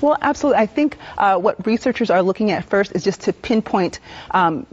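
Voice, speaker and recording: Casting: American woman, 30s, medical expert guest on TV news, informative, plain-spoken, mid-pitched, slightly muffled broadcast sound. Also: lively intonation, brisk, a little mellow. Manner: informative, explains in plain terms. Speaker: emergency room nurse and expert guest. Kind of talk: explanatory live TV interview. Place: TV news studio. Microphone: lapel mic.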